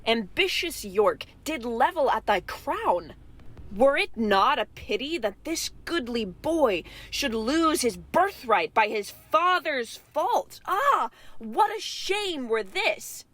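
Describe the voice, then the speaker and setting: An actress playing a proud, forceful queen in a Shakespearean audio drama, with an urgent, persuasive, declamatory manner. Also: high-pitched, very animated, slow, full character voice. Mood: urgent reproach. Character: proud, forceful queen urging a soft king to harden.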